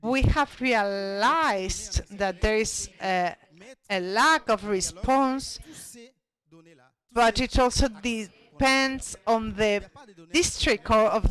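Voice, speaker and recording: Brief: conference presenter, very slow and deliberate, halting with restarts, mid-pitched voice. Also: a bit bright, rough recording. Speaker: panel speaker presenting a study.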